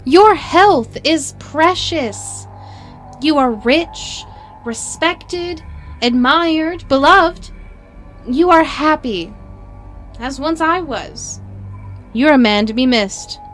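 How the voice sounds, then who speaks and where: A woman acting in an audio drama as a scheming aristocrat, speaking formal archaic lines with measured pauses and feigned concern. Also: high-pitched, lively intonation, a bit bright, faint music behind, heavily acted. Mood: falsely solicitous. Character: scheming aristocrat feigning solicitous friendship, secretly vengeful.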